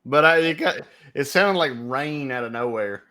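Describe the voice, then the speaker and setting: A young man co-hosting a casual podcast from home, talking in a loud voice that carries and telling stories in a chatty way. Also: mid-range voice, animated, normal speed. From United States. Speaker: podcast co-host. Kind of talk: casual chat. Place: home, remote recording. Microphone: home podcast mic.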